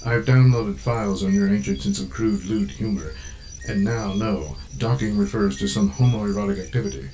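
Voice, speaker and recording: A scolding space station computer performed by a man talks in a low voice. It is stilted and officious, yet moves widely in pitch, over faint music in a sci-fi comedy. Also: lively intonation, moderate pace, mellow, poor audio. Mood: prim, officious.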